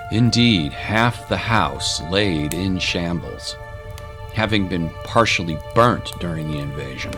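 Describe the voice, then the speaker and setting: A man narrating a scripted dramatic story. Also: low voice.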